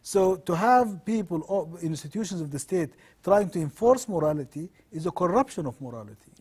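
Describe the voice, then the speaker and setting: A middle-aged man from Sudan, a law professor answering interview questions in measured, earnest explanations. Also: high-pitched, animated, a little mellow, husky.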